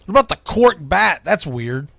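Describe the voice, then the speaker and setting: A man's voice: sits high, very animated, normal speed, gravelly, sharp tone, no room sound, clean studio sound. A man from the United States hosting sports radio, talking casually and with firm opinions as he counts down a list, leaning on key words.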